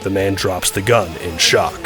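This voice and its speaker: Male narrator, very low voice, scripted drama storytelling, clear music underneath. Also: measured pace.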